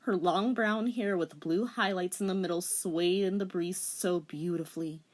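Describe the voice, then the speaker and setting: A woman's voice: lowish voice, moderate pace. A woman narrating a comic dramatic reading with teasing, theatrical commentary.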